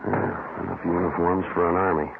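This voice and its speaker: An actor playing a terse, deadpan police detective sergeant who narrates in clipped, matter-of-fact style in an old radio crime drama. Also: male voice, sits low, moderate pace, gravelly, heavy background noise. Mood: dry, factual. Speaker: lead actor and first-person narrator. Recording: muffled old radio broadcast with music.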